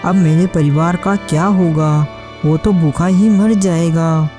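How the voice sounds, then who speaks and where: A woman's voice: fairly low pitch, fairly even intonation, slow pace, warm tone, soft background music. A woman voicing a humble, earnest male villager in a cartoon moral story, with a plaintive manner. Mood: distressed. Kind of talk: Hindi animated moral story dialogue.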